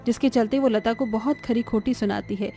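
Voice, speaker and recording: High, mellow female story narrator. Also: fairly even intonation, fairly quick, soft background music.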